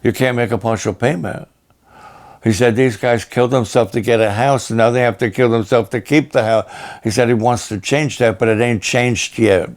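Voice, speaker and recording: An older male pastor with a raspy, hoarse voice, talking plainly and haltingly to his supporters. He often pauses and repeats himself. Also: medium pitch, steady tone. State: hoarse after illness and a long program. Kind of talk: slow personal update to supporters.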